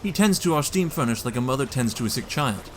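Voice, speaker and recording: A man's voice: medium pitch, animated, quick pace, slight background noise, natural voice. A man playing an earnest devoted young husband. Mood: tense. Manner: earnest, tender, soft-spoken. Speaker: narrator of his own journal. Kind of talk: audio drama acting.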